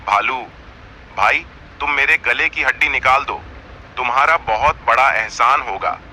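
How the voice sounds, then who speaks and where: Man acting a proud lion king, piercing bright voice, mid pitch, imploring yet imperious, cartoon, faint noise. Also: unhurried, poor audio. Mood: coaxing. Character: proud lion king of the jungle, needy, threatening.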